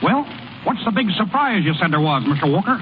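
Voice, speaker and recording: An actor playing a conceited, dim-witted small-town baseball pitcher, cocksure and blunt, in 1930s radio comedy style. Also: male voice, sing-song. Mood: cocky, unimpressed. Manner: cocksure, blunt, slow on the uptake. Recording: muffled, noisy old radio broadcast.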